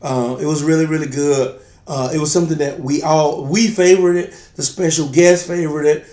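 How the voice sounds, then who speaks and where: A man with a slightly raspy, congested voice chatting casually as co-host of a drinks review show. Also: on the high side, steady tone, normal speed, mellow. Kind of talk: casual chat. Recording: roomy, echoey sound.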